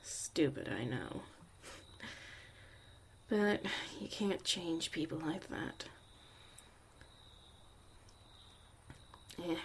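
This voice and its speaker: Over clear background noise comes a jaded young witch mother acted out by a woman in an intimate ASMR roleplay. Her voice sits on the low side and moves at an unhurried pace. She talks bluntly and sardonically, like a tired survivor who has little patience for people. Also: big pitch swings, heavily acted. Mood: bitter, dismissive. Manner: sardonic, casual, swearing, dismissive.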